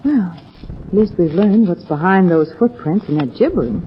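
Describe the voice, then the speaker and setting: An actress playing an anxious, frightened young niece in an old-time radio mystery, with a dramatic delivery. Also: female voice, lowish voice, lively intonation, moderate pace, lightly acted. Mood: uneasy. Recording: muffled, noisy vintage radio recording.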